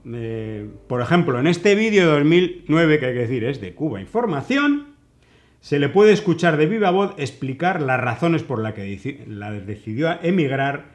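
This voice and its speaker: A man's voice: medium pitch, sing-song, moderate pace. A man co-hosting a political commentary show, reading quotes aloud with ironic asides. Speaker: co-host.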